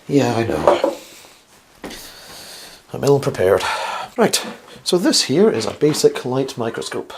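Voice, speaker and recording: A man with a slightly croaky, flu-worn voice hosts a casual instructional science vlog, chatty and rambling with wry, self-deprecating asides. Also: medium pitch, very animated, slow, long pauses, slight background noise. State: getting over the flu. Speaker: host of a science video series.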